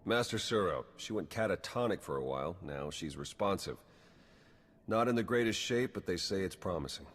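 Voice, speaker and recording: A principled intelligence agent in a game drama. His voice is extremely low, and he talks in a plain, clipped, world-weary way. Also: lively intonation, moderate pace, fairly bright tone. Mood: relieved, businesslike. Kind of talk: video game dialogue acting.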